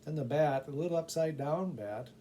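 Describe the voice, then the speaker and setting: A man's voice: a little high, fairly quick, dark tone, a little hiss or hum. A man with a casual, conversational manner, chatting and narrating a storybook as a volunteer reader.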